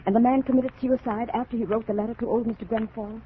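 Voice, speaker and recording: A woman's voice: on the high side, fairly even intonation, fast, lightly acted. A woman playing an earnest, troubled young plantation woman in 1940s radio melodrama, with a dramatic delivery. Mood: grave, wondering. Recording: tinny, noisy old radio recording.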